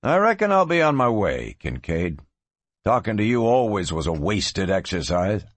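A man voicing a stubborn, quietly menacing gunslinger in a Western storybook, with a measured manner. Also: lowish voice, no room sound. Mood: cool warning. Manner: flat, measured, soft-spoken warnings. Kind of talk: dramatised audiobook reading.

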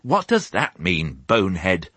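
A man voicing a proud, impatient old female sheepdog who barks out curt, gruff questions. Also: medium pitch, very animated, takes it slowly, no echo, pitched above the performer's own voice, heavily acted. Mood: irritated. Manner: curt, gruff, barked orders.

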